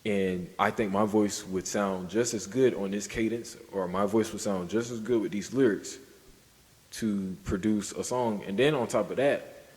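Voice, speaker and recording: A man co-hosting a podcast in casual discussion, halting and circling back over his points. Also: on the low side, darkish tone, in a large hall. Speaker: podcast co-host.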